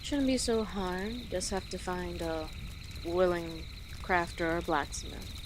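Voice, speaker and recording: A woman playing an easygoing, friendly female orc travel guide in an audio roleplay, speaking gently and conversationally to the listener with pauses. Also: fairly low pitch, steady tone, noisy background. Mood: relaxed, hopeful. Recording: outdoor nature ambience with birdsong behind. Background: birds and rural outdoor ambience.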